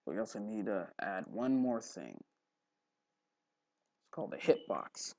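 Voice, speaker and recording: A man hosting a casual, unscripted screen tutorial, haltingly repeating words and muttering to himself, recorded on a headphone mic at his neck. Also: fairly low pitch, big pitch swings, darkish tone, no room sound. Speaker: tutorial host. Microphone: headphone mic held at the neck.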